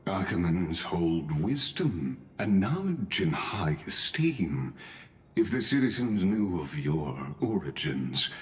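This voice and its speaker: A humble, learned retainer in a video game. His voice is low and unhurried, with expressive rises and falls, and he speaks with gentle, formal deference. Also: heavily acted. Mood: courteous, intrigued. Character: courteous, scholarly, humble male retainer with gentle temper. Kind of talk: video game character acting.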